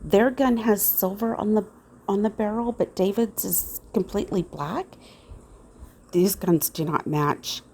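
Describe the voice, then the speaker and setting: A woman's voice: medium pitch, darkish tone, breathy edge. A woman acting as an earnest investigator in an old time radio style mystery, speaking emphatically about evidence. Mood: emphatic, convinced. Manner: slow, emphatic. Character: earnest female investigator questioning suspect evidence.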